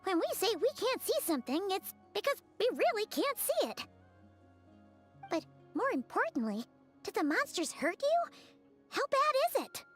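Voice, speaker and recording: A woman voicing a tiny, childlike fairy companion in a video game, blunt and cheeky with a squeaky voice. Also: huge pitch swings, normal speed, faint music behind, exaggerated voice. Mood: friendly, concerned. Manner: speaks of herself in the third person, blunt. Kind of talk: anime-style video game voice acting.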